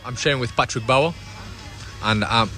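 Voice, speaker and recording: A man's voice: mid-range voice, moderate pace, bright, forceful tone. A young man from Spain, a footballer, answering interview questions by a pool outdoors, with many restarts and fillers. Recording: music playing behind. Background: music.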